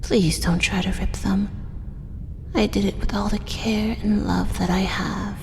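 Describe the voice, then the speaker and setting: A woman voicing a doting, possessive female drider monster in a soft, breathy, whispered ASMR roleplay, coaxing with tender menace in an echoing space. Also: flat delivery, unhurried. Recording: echoey cave-like reverb, faint rumbling noise.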